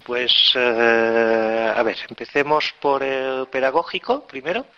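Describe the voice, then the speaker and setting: Bright-voiced Catalan-accented professor explaining. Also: male voice, mid-range voice, takes it slowly, clean tone, highs cut off. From Barcelona, Spain. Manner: patient, explanatory, frequent "pues" and "eh" fillers. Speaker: phonetics professor, guest. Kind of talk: radio interview, explaining.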